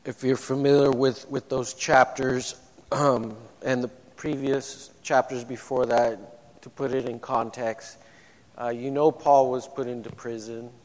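A man with a low voice preaching a sermon in a church, speaking haltingly with frequent restarts. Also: level tone. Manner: halting, many restarts and fillers. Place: church sanctuary. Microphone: microphone. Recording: long church-hall reverb.

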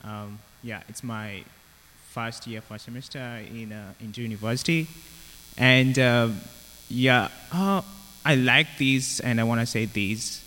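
A young man with a Kenyan accent giving a personal testimony in a halting, reflective way. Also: mid-range voice, steady tone, bright, forceful tone. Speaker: university student sharing a testimony. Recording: reverberant hall with humming noise.

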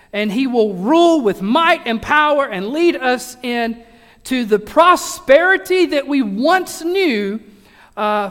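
A high-pitched man preaches a loose, humorous sermon, stumbling over restarts and poking fun at himself and his listeners. His voice carries a long reverb from a large church sanctuary. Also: a bit bright. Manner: chatty, self-deprecating humor, frequent restarts. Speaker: pastor. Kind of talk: casual joking sermon.

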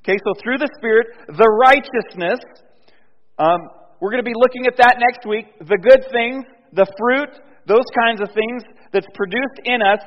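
A man preaching a sermon in a conversational way, dropping soft and low and then rising loud and emphatic. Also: moderate pace. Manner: conversational, with restarts and fillers. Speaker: pastor. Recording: dull sound with long hall reverb.

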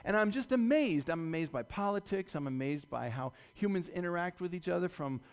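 Slightly scratchy, higher-pitched male preacher. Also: animated, fairly quick, clean tone. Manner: informal and discursive, often checking in with "right?". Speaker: church preacher. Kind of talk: conversational sermon to a congregation.

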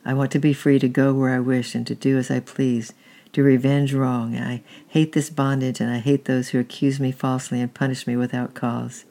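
A proud, bitter young slave answers with defiance, as a man reads him aloud. The voice is gravelly and flat, with little rise or fall. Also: mid-range voice, normal speed, natural voice. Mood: defiant.

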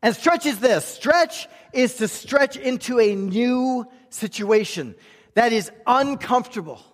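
A man's voice: very high voice, lively intonation, measured pace. A male pastor preaching a church sermon in an emphatic, conversational way, with rhetorical questions and repeated phrases. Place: large room, preaching to a congregation. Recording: reverberant hall sound.

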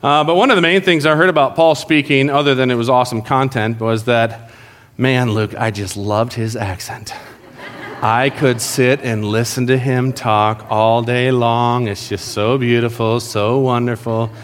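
A man's voice: mid-range voice, fairly even intonation, moderate pace, long reverb tail, a little hiss or hum. A pastor speaking to his congregation in a casual, joking sermon style. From South America. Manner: jokey, conversational, addresses congregation directly. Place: church sanctuary.

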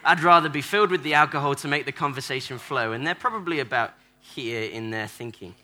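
A man's voice: mid-range voice, a little fast, pushed and bright. A man preaching a playful sermon in a church, acting out different church members and joking with the congregation. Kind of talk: sermon with audience props. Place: church hall.

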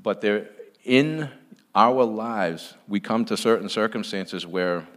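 A man's voice: on the low side, slow, a bit bright. A man speaking earnestly at a church, giving an informal testimony with emphatic repetition.

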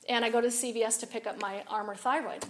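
A woman with a raspy voice giving an animated, confiding storytelling talk to a women's audience. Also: a little high, fast talker. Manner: animated, confiding, rises and drops a lot. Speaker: speaker at a women's event.

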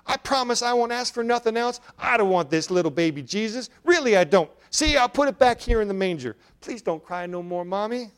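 A man's voice: high voice, expressive, a little fast, few pauses, clear, resonant tone, voice pushed up. A man doing a sweet, eager little girl. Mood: apologetic. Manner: childlike, pleading, rural grammar.

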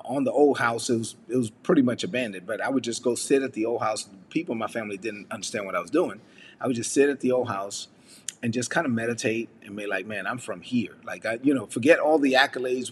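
A man delivers a relaxed devotional message in a chatty, unpolished way, often checking in with a rhetorical "right?". His voice carries a long reverb tail, with a faint noise bed under it. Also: medium pitch. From United States. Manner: conversational, with frequent fillers and rhetorical "right?". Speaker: preacher.

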